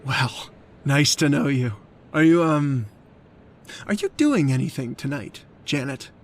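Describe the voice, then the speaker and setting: An uneasy hunter played by a man in a radio thriller. His voice sits at a middle pitch. He talks hesitantly, in the manner of old radio acting. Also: expressive, unhurried. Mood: polite, awkward.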